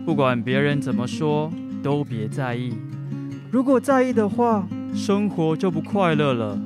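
A man's voice: on the high side, animated, measured pace, loud music behind the voice. A man playing a good-humoured, reassuring father in a radio drama.

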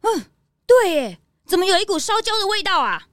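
A woman playing a perky, outspoken young detective's assistant with an expressive delivery in a children's audio drama. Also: extremely high pitch, fairly quick, dry recording, studio quality, full character voice. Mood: puzzled, complaining. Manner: expressive, complaining, emphatic.

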